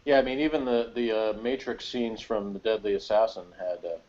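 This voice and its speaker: A man's voice: fairly low pitch, a little fast, a little mellow, dull audio. A man giving his opinions in a casual fan discussion, sceptical and a little hesitant in his phrasing.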